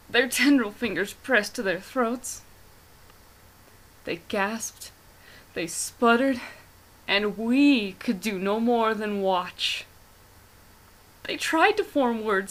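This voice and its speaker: A woman playing a haunted, horrified witness who tells a gothic horror tale in a grave, breathy manner. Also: a little high, a bit bright, low-quality recording. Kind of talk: hushed gothic horror monologue.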